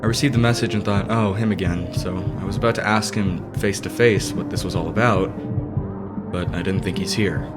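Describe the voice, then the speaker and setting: Low-voiced, serious young idol played by a man. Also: fairly even intonation, brisk, natural voice. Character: serious, proud, hot-headed teenage boy idol, easily slighted. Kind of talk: anime fan dub acting. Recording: loud music under the voice.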